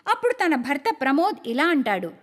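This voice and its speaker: Female storyteller for scripted moral tales, high and very lively, long reverb. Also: a little fast. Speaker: narrator.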